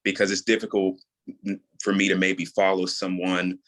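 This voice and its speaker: A man from New York talks in a low, deep voice with a soothing tone. He is an interview guest, explaining his craft casually and in an easygoing manner. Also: monotone, normal speed, a bit bright. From New York, USA. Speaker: guest, audio drama creator and voice actor.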